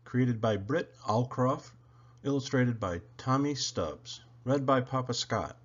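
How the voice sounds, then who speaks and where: A man reading a children's story aloud with expressive, animated storytelling. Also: mid-range voice, slow pace, muffled recording. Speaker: storybook reader.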